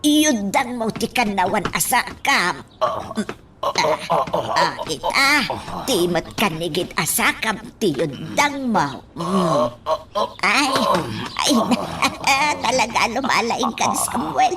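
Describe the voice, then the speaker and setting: A woman's voice: medium pitch, big pitch swings, takes it slowly, a crowd in the background. A woman acting in an Ilocano radio drama as a fond, amused caregiver, musing and coaxing, on a noisy track that sounds a bit distant. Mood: coaxing, encouraging.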